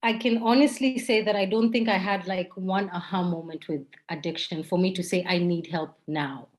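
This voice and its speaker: A woman's voice: on the low side, steady tone, normal speed. A woman with a Kenyan accent speaking candidly as an interview guest, telling personal stories.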